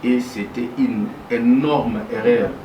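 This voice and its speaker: Halting man, far and echoey. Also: mid-range voice, steady tone, highs cut off. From DR Congo. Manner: halting, mixes French and Lingala. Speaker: guest. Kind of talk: casual interview talk. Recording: echoey room, far mic, noisy.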